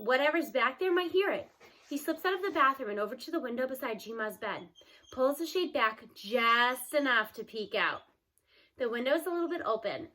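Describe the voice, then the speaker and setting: A woman reading a novel aloud, expressive, with lively shifts in tone. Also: on the high side, rough recording. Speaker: reader.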